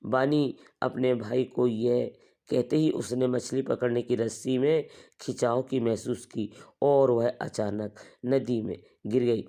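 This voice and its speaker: A woman's voice: very deep voice, steady tone, slow, mellow. A woman reading a scripted horror story narration for a cartoon.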